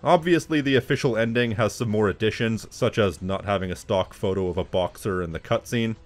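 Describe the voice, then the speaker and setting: A man's voice: fairly low pitch, a little fast. A man delivering scripted video commentary as an essayist, with snide, wry asides.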